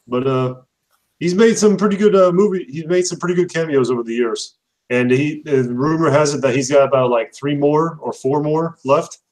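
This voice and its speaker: A man's voice: a little high, moderate pace, a little mellow, low-quality recording. A young man hosting a casual chat, rambling with many fillers and laughing often. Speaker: host.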